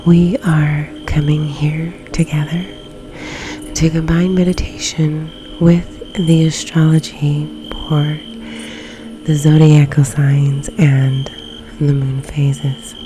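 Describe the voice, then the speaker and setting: A woman leading a guided meditation in a soft, breathy, often near-whispered ASMR delivery, with long pauses between phrases. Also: sits low, flat delivery, background noise. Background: background music.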